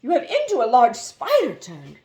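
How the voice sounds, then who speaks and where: Woman as a shrill, babyish fairy-tale princess. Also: wildly swooping pitch, normal speed, a little mellow. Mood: panicked. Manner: shrill, crying out rhythmically.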